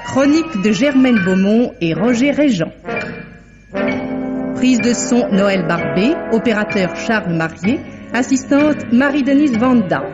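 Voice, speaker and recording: A woman's voice: on the high side, lively intonation, unhurried. Woman announcer over music, dull old radio. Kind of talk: scripted 1950s radio credits announcement. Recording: dull lo-fi vintage radio recording.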